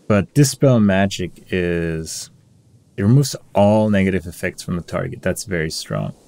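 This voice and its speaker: A man's voice: low-pitched, animated, unhurried, gravelly, a little mellow, no echo. A man giving casual game commentary, musing and weighing his options aloud in a hesitant way. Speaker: gaming video creator. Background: game music.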